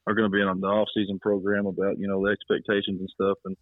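A man's voice: lowish voice, completely flat delivery, quick pace. A man of thirty, chatting modestly and politely as a coach interviewed over the phone. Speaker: high school football coach, interview guest. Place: on a phone call. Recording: muffled, roomy phone line.

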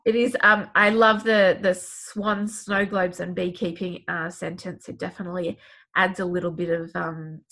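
A woman's voice: lowish voice, level tone, normal speed, sharp tone. A woman hosting a podcast with a head cold that makes her sound sniffly and clogged up, reading introductions and chatting with guests. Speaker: podcast host. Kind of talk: scripted podcast intro and casual chat.

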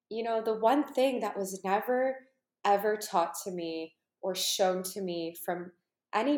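A woman in her thirties from Canada, a life coach and retreat host, talking earnestly to her followers and rambling with lots of "you know" and "like". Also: medium pitch. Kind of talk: personal monologue to followers. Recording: roomy echo.

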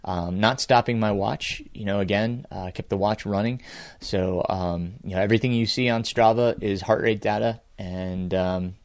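A middle-aged man recording a reflective, unscripted audio journal as a podcast host, speaking haltingly with many filled pauses. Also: lowish voice, steady tone, no echo. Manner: halting, hesitant, with frequent filled pauses and small chuckles. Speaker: podcast host and amateur ultrarunner.

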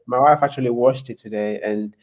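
A man from Nigeria, a podcast guest, talking thoughtfully with many pauses and restarts. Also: medium pitch, steady tone. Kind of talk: casual interview chat.

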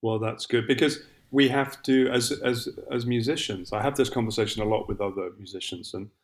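A middle-aged man, a musician guest on a podcast, talking reflectively with many pauses and restarts. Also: mid-range voice. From England. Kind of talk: podcast interview.

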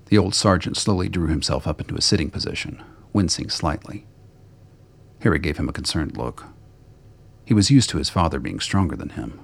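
Very deep, gravelly male narrator. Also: lively intonation, a little fast. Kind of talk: scripted radio play narration.

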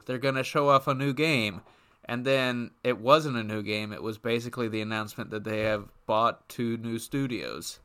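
A man co-hosting a podcast in casual banter, speaking in a halting, conversational way. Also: medium pitch, bright, forceful tone, clear, resonant tone. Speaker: podcast co-host.

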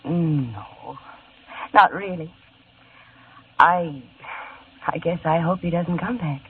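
A woman's voice: deep voice, slow, slightly rough, exaggerated voice. A young actress playing a shy, flustered woman who stammers and hesitates, in old-time radio acting. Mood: unsure. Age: young adult. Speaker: dinner guest. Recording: tinny old radio broadcast.

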